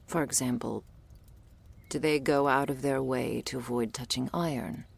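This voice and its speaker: A woman playing a gruff, world-weary female monster hunter in an ASMR audio roleplay, curt, sardonic and bossy as she addresses the listener. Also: steady tone, normal speed, heavily acted. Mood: serious, measured. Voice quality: low, husky, breathy with gravelly creak.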